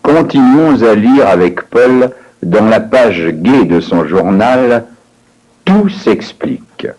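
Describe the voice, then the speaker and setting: Slow, measured male lesson narrator. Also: on the low side, big pitch swings, darkish tone, low-quality recording. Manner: measured, announcing delivery. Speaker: language course narrator. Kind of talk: scripted language lesson narration.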